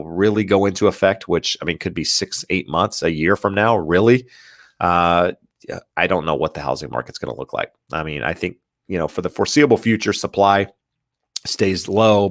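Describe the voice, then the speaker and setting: A man's voice: low voice, a bit bright, no room sound, studio quality. A man hosting a casual live question-and-answer stream, stuffy and nasal from allergies, hesitant with many fillers and restarts as he reads out viewer questions. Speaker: real estate livestream host.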